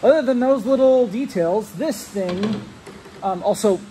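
A man's voice: high voice, a little slow, slight background noise, poor audio. A man in his thirties filming himself with a handheld phone in a large metal hangar, talking casually and a bit rambling as he explains a model plane setup. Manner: relaxed, rambling, with fillers and restarts, explains technical setup. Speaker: RC plane hobbyist vlogger. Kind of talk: casual walkthrough vlog. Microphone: handheld phone camera held close.

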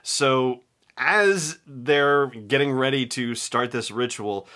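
A man's voice: mid-range voice, slow pace, pushed and bright. A man in his forties talking casually as he hosts a podcast on his own from a room at home. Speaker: podcast host. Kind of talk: casual solo podcast commentary.

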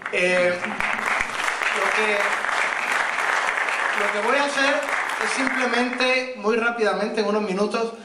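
A man with a Puerto Rican accent giving a guest speech at a political rally, animated, with many hesitations and fillers. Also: fairly high pitch, a little hiss or hum. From Puerto Rico and Miami. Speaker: guest speaker. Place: large indoor event hall. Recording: echoey hall, far from mic. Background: audience murmur and laughter.

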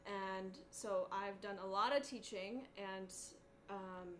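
A middle-aged woman, born in Hong Kong and raised in Boston, chatting casually and haltingly but keenly as a cello teacher hosting a livestream from her living room. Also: mid-range voice, fairly even intonation. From born in Hong Kong, raised in Boston, USA. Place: small living room at home, indoors. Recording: clearly noisy home webcam audio.

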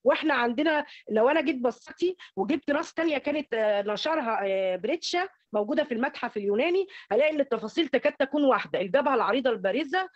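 A dull, lo-fi online-call recording of an Egyptian woman presenting academic slides. Her voice sits fairly high and she talks quickly, often stumbling over and repeating small words as she explains. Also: lively intonation, no room sound. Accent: Egyptian Arabic.

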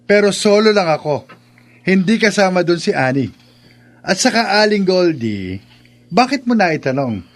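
A man's voice: high voice, very animated, moderate pace, long pauses. A man playing a cocky, shameless young man in a Filipino radio drama, with a mocking, boastful, playful delivery. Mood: brazen. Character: cocky, teasing, shameless young man, proud of his looks.

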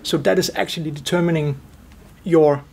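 A man in his forties giving measured technical explanations as an expert in an interview. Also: on the high side, steady tone, gravelly, a little mellow, breathy edge. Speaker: expert guest, loudspeaker driver engineer.

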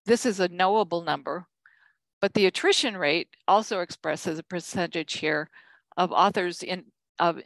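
An older woman giving a conference keynote from slides, measured, with frequent ums and self-corrections. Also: fairly low pitch, a bit bright, no echo, poor audio. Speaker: keynote speaker.

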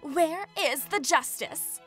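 A woman's voice: high voice, lively intonation, takes it slowly, a bit bright, faint music behind, full character voice. A woman playing a theatrical, haughty young noblewoman, grandiose and dramatic, in a comedic roleplay skit. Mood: indignant, scheming.

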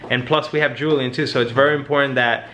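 A man's voice: mid-range voice, steady tone, fast talker, sharp tone, slight background noise. A man in his thirties talking casually to a handheld camera while travelling, chatty and upbeat. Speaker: travel vlogger. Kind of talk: casual vlog talk to camera. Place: city streets, car and apartment while travelling. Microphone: handheld camera at arm's length.

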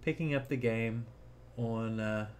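A man's voice: mid-range voice, a little slow, a little mellow, slight background noise. A man narrating a casual tech tutorial, explaining things informally step by step.

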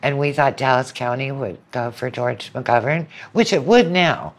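A woman in her seventies speaks as an interview guest. She reminisces conversationally, telling her story as part of a relaxed back-and-forth exchange. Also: a bass voice, a little slow. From southern United States. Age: 70s.

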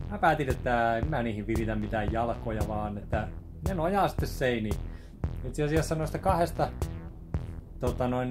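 A man in his thirties talking casually in a rambling, chatty way while he walks through building a film set in a small office studio. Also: mid-range voice. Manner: chatty, rambling, with many restarts. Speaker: video maker showing a set build. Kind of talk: casual DIY vlog talk. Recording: background music under the voice. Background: music playing under the talk.

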